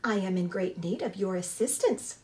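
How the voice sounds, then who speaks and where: A woman doing a calm, formal female robot. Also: medium pitch, lightly acted. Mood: polite. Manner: flat, measured, formal phrasing.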